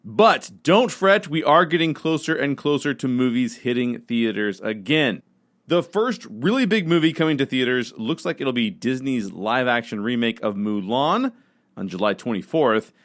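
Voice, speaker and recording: Mid-pitched man presenting scripted entertainment news. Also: a bit bright. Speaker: podcast presenter.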